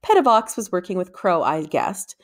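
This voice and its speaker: A middle-aged woman reading a children's novel aloud in a measured, deliberate storytelling manner. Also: fairly low pitch, very animated. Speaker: read-aloud narrator. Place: small room at home, indoors. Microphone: desktop microphone in front of her.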